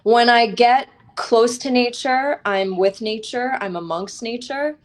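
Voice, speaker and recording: A woman's voice: on the high side, slow. Earnest, reflective woman in conversation. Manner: earnest, reflective, spiritual-teacher phrasing with tag questions. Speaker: author interviewed as a guest. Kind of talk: conversational interview.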